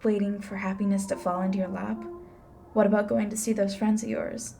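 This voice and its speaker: A woman voices a female grim reaper for an audio roleplay, relaxed and dry, with some fry in the voice. She is blunt and flippant, prying without much sympathy. Faint noise sits behind her. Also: medium pitch, fairly quick, lightly acted. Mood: curious, tactless. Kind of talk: ASMR roleplay acting.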